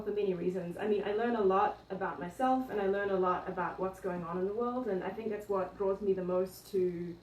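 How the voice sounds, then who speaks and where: A woman from New Zealand, an art teacher, chatting casually through a livestream tour of her studio, often pausing with ums and restarting her sentences. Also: mid-range voice, darkish tone, a little hiss or hum. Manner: hesitant, many ums and restarts. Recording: echoey room, voice from a distance.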